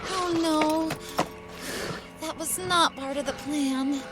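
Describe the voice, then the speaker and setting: A woman voicing a determined, pleading young horse-riding girl in an animated cartoon, earnest and insistent. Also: high-pitched, slow pace. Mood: worried, scheming. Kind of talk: animated cartoon dub acting. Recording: music bed behind the voice.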